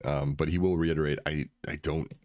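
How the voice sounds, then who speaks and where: A man running a tabletop game as game master, narrating with hesitant pauses and fillers. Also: very deep voice, flat delivery, darkish tone, no room sound, clean studio sound.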